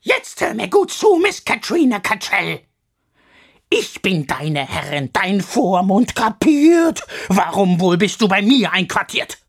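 A spiteful old guardian woman screeches at her ward, spitting with rage, as a woman reads her. Her voice climbs high and swings wildly, with a husky edge. She takes her time, hammering each insult. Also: big pitch swings, takes it slowly, full character voice. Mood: furious. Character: shrill, hateful old female guardian.

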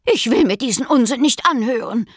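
A woman's voice: a little high, animated, fairly quick, slightly rough, no echo, studio quality, voice pushed up. A woman voicing a grumpy, scornful female hare who scolds dismissively in a storybook reading.

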